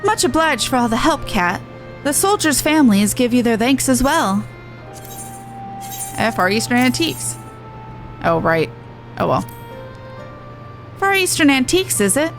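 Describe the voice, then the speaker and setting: A woman's voice: high voice, very animated, a little slow, pauses often, low-quality recording. A woman game streamer reading dialogue aloud with chatty reactions, stumbling and correcting herself as she goes. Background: video game music.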